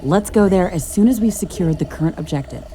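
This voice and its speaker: Over prominent music, an actress voices a female scientist-commando in a video game. Her voice sits fairly low. She is keen and self-assured, laying out analysis in crisp, scripted phrases. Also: animated, brisk, lightly acted. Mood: focused, keen. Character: Ashley, eager female scientist-commando in a mech suit. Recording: music bed behind the voice.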